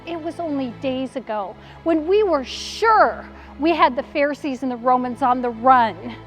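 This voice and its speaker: An actress playing a grieving, frightened woman disciple in a halting, anguished dramatic monologue, full of despair and bitterness. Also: high voice, lively intonation, faint music behind. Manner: halting, self-questioning, anguished.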